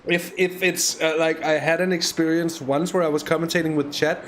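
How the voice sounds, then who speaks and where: A man calls a sim race live into a USB microphone. His voice sits a little high for a man, and he talks in a loose, chatty way full of ums and false starts. Faint background hiss lies under the voice. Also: little pitch movement, fairly quick, a bit bright. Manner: chatty, hesitant, frequent fillers and restarts. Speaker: sim racing commentator.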